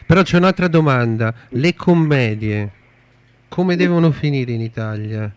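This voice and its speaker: A man working as a radio interviewer, putting informal questions in a halting way and often restarting his openings. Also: mid-range voice, expressive. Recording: faint background noise.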